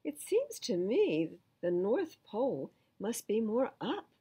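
An older woman voicing a gentle, simple, earnest toy bear in a children's storybook. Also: a little high, very animated, takes it slowly, breathy edge, voice pushed up, full character voice. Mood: thoughtful. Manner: earnest, thoughtful.

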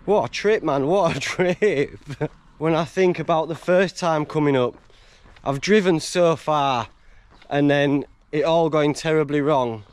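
Tired, chatty hiker outdoors. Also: male voice, a little high, unhurried, clear, resonant tone. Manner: chatty, laughs often, tired and rambling. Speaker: hiking vlogger. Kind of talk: casual vlog chat and map recap. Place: outdoors on a country road and moorland. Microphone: camera held at arm's length.

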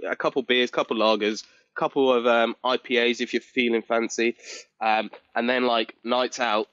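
A man's voice: medium pitch, steady tone, moderate pace, a bit bright, no room sound, dull audio. A man in his twenties, a guest on a video call, chatting casually in a rambling way with lots of fillers, seated outdoors under a gazebo. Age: 20s. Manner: rambling, self-deprecating, many fillers. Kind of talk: casual chat. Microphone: video call with headset.

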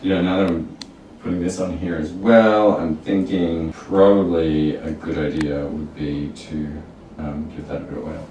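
A young man with a low, deep voice talks casually while he cooks, rambling through stories with lots of fillers. He is recorded in an echoey home kitchen, a few feet from the camera mic, with some background noise. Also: little pitch movement, normal speed, warm tone, far from the mic. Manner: chatty, rambling storyteller with many fillers. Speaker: vlog host cooking. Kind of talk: casual cooking vlog chat.

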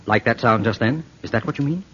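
An actor playing an earnest, protective detective, questioning urgently and reassuringly in a 1940s radio drama style. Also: male voice, medium pitch, fast talker, close to an everyday voice. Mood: probing. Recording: muffled, noisy old radio recording.

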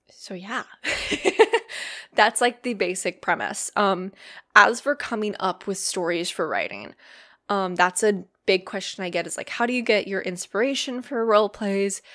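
A young woman hosting a casual solo podcast, rambling with lots of ums and likes, her voice slightly hoarse. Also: medium pitch, animated, a little slow, pauses often, a bit bright. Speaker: podcast host and roleplay series writer.

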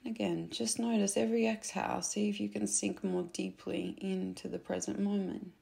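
Soft gentle lady doing guided meditation, mellow dark tone, lots of vocal fry, slow and soothing. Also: medium pitch, flat delivery. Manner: gentle, unhurried, instructing softly with pauses.

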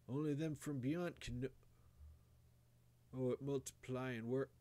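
A man voicing a dying, half-mad old rustic grandfather in a horror story, halting and urgent, with wheezing, choked speech. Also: medium pitch, steady tone, warm tone, voice pushed up, natural voice. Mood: urgent, failing.

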